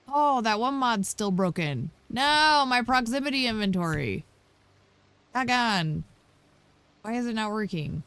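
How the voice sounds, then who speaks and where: A woman streaming a game, croaky today, talking casually and thinking aloud with lots of ums. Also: medium pitch, a bit bright. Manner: thinking aloud, many ums, chatty asides to herself. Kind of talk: casual gameplay commentary.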